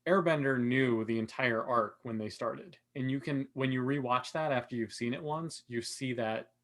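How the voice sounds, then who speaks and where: A man's voice: medium pitch, moderate pace. A man talking casually and analytically as a co-host in a panel discussion, explaining his points. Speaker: podcast co-host.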